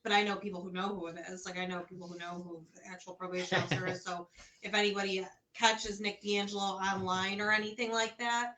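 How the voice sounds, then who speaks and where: A woman co-hosting a casual online talk show, agreeable and quick to back up the host. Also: mid-range voice, little pitch movement, bright, forceful tone. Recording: roomy echo.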